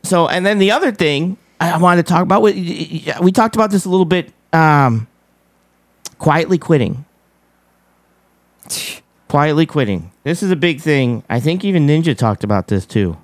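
A man hosting a casual live show, giving emphatic commentary on politics and economics with repeated phrases and a squeaky voice. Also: animated, normal speed, no echo.

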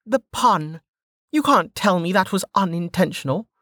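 A woman's voice: fairly low pitch, expressive, normal speed, fairly bright tone, no room sound, clean studio sound, pitched above the performer's own voice. A narrator voicing a brash, cocky young woman fighter who talks in a bossy, taunting way. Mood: teasing.

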